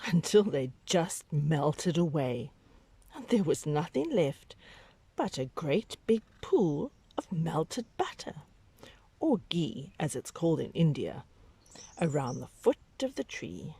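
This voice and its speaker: A woman reading a picture book aloud to young children, with dramatic, drawn-out emphasis and hushed whispery moments. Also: low-pitched, big pitch swings, slightly rough, dry recording.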